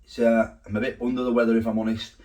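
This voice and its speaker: A man with a hoarse, sore throat, chatting casually to camera from his home kitchen as a food vlogger, rambling with fillers and asides. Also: medium pitch, little pitch movement, fast, a little mellow.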